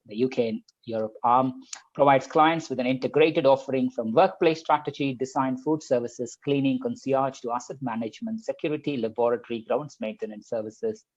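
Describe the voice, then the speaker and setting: A man's voice: medium pitch, darkish tone, dull audio. A man hosting a podcast, reading a long guest introduction with light jokes and a few stumbles. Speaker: podcast host. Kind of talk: podcast host introduction and interview.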